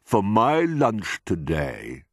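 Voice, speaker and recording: Man playing a boastful, greedy giant crocodile, slow and relishing. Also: on the low side, wildly swooping pitch, creaky, fairly bright tone, dry recording, clean studio sound, voice pushed up, heavily acted. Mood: sly, gloating. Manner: boastful, gloating, relishing his words. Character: enormous greedy boastful crocodile, sly and menacing.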